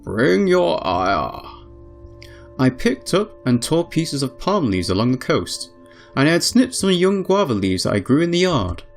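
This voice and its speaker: Guy reading a story game out loud, really animated pitch, bit of music under him, throws in chatty asides. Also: a little slow, vocal fry. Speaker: let's play host reading a visual novel aloud. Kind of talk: dramatic read-aloud narration with casual asides.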